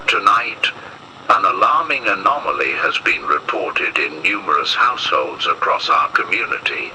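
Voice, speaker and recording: A man playing a grave emergency broadcast announcer in an analog horror piece, solemn and measured, with an ominous delivery. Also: low-pitched, noisy background. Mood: grave, official.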